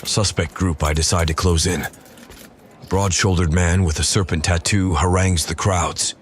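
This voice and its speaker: A man voicing a loyal, earnest royal officer who gravely narrates his investigation in an audio drama. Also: low-pitched, steady tone, normal speed, a little hiss or hum, natural voice. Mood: earnest, troubled. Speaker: writer and performer of the audio drama. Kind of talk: scripted first-person audio drama narration.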